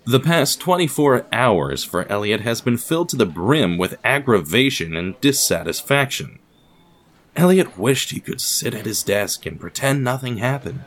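A man reading scripted narration as the narrator of an audio production. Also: on the low side.

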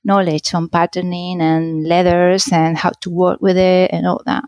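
Spanish-accented woman, low dry voice. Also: level tone, normal speed, a little mellow, no room sound. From Spain. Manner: hesitant, searching for words, restarts. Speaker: guest, shop owner. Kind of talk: casual interview answers.

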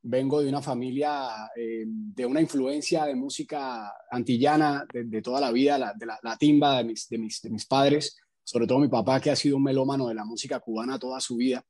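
A man, a singer answering interview questions reflectively, with measured phrasing. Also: medium pitch, steady tone, darkish tone. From Colombia. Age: 20s. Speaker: salsa singer, interview guest.

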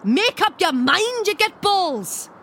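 A woman's voice: very high voice, very animated, slow pace, fairly bright tone, slight background noise, heavily acted. A woman playing a huge, grumpy female loch monster with a Scottish accent and salty, indignant slang in a comedy audio drama. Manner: brash, salty, indignant slang-heavy talk. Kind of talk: audio fiction comedy acting.

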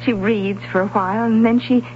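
An actress playing a hesitant, helpful and slightly puzzled library clerk in a radio drama, speaking thoughtfully. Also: female voice, on the high side, steady tone, brisk, natural voice. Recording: muffled, noisy 1970s radio broadcast recording.

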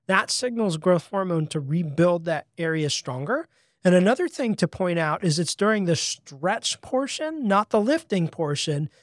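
A man's voice: high voice, dry recording, clean studio sound. A man in his thirties co-hosting a casual fitness podcast, explaining points from his list one by one. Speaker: podcast co-host.

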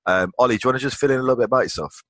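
A man hosting a podcast, chatting casually with his guest and chiming in with quick friendly remarks. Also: medium pitch, fairly bright tone, dry recording. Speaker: podcast host. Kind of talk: casual interview chat.

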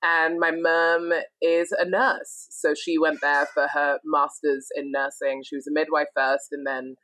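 A woman's voice: sits low, little pitch movement, a little slow, a bit bright, slight background noise, low-quality recording. A young adult woman, a guest chatting cheerfully and laughing easily on a podcast. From UK, born in Scotland and raised in northern England. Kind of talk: casual podcast conversation.

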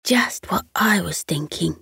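A woman voicing a little boy, the older brother in a bedtime story, in a drawn-out whisper. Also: fairly low pitch, lively intonation, gravelly, sharp tone, breathy edge, no echo, clean studio sound, full character voice. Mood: whispered excitement.

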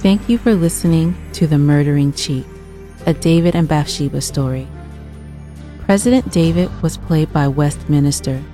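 A woman reading the closing credits of an audio drama clearly and briskly. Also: low voice, dark tone, soft background music. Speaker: credits announcer. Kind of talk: scripted announcement.

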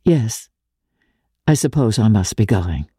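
A woman playing a worldly, wounded aristocratic woman in a dramatic, measured audiobook reading with halting pauses. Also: very deep voice, expressive, dark tone, breathy edge, dry recording, studio quality, heavily acted. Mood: subdued, probing. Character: worldly, wounded aristocratic woman, low-voiced then passionately indignant.

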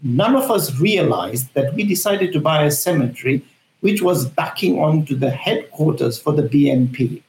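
A man's voice: fairly high pitch, animated, vocal fry, a little mellow. Earnest older man, echoey room. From Zambia. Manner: earnest, halting with frequent fillers. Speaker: guest, cemetery founder. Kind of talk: conversational interview answers.

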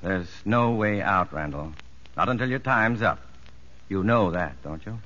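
An actor playing an earnest prison psychologist in a 1950s radio drama, measured and probing. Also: male voice, deep voice, animated, pushed and bright, heavily acted. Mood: probing, commanding. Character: earnest male prison psychologist, narrating and talking with convicts. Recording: dull vintage radio recording, music underneath.

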